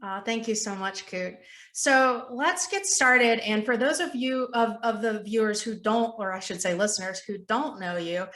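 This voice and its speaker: A woman's voice: medium pitch, moderate pace, fairly bright tone. Upbeat woman hosting in an echoey room. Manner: upbeat, gushing, motivational. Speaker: podcast host and health coach. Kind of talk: enthusiastic podcast interviewing.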